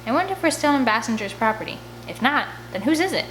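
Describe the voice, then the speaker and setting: A woman's voice: on the high side, sing-song, fast talker, a bit bright, a little hiss or hum, poor audio. A woman reading a first-person children's novel aloud as an audiobook, telling the story expressively. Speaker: audiobook reader.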